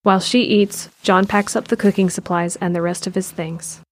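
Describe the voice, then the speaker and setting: A woman narrating an audio drama in a calm, measured, descriptive way. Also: medium pitch. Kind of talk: scripted narration.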